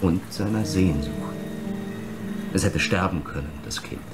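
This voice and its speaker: An actor playing a menacing, brooding film director in a radio drama, an abandoned son who accuses with insistent rhetorical questions. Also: male voice, low-pitched, unhurried, loud music behind the voice. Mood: menacing, bitter.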